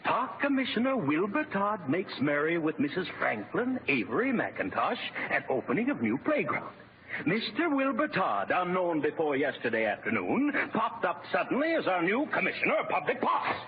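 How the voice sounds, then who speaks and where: An actor playing a jealous, scheming political boss and husband in a vintage radio comedy, blustering with exasperated sarcasm. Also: male voice, high-pitched, wildly swooping pitch, normal speed. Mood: indignant. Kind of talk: 1940s radio comedy acting. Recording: tinny, noisy vintage radio recording.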